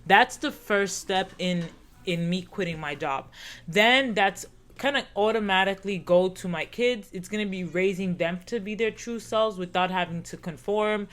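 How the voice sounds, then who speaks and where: A woman's voice: mid-range voice, moderate pace, a bit bright. A woman in her thirties co-hosting a casual podcast, talking earnestly and reflectively, often repeating herself. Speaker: podcast co-host. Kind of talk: casual chat.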